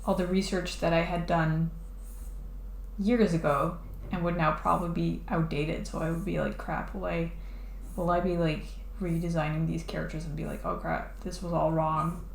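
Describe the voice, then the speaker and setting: Casting: woman streamer, lowish voice, rambling casual chat, echoey room with faint hiss. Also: level tone, moderate pace, gravelly. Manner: rambling, lots of "like" and restarts. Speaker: streamer drawing a comic. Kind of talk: casual chat while drawing.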